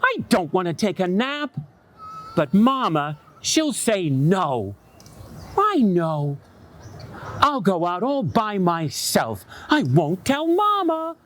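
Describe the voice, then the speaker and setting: A man voicing a small, excitable young rabbit in a children's story, with a high, wailing voice. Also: takes it slowly, heavily acted. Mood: excited. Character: scared little rabbit child.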